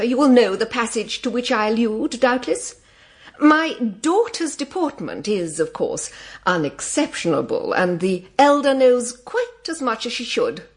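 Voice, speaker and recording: An actress playing a haughty, condescending middle-aged English mother with an upper-class accent and a pompous manner in a period audio drama. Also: fairly high pitch. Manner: pompous, patronising.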